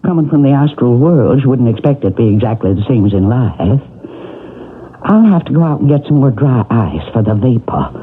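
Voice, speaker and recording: A man's voice: mid-range voice, sing-song, brisk, slightly rough. An actor playing a scheming fake medium who coaches his assistant in a bossy, coaxing manner, in a 1970s radio drama. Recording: tinny old radio broadcast.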